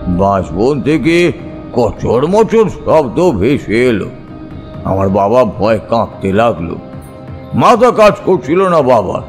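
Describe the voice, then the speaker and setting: Expressive male storyteller with lively pitch. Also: slow pace, faint music behind. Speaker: audio story narrator. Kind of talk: dramatic scripted narration of a village ghost story.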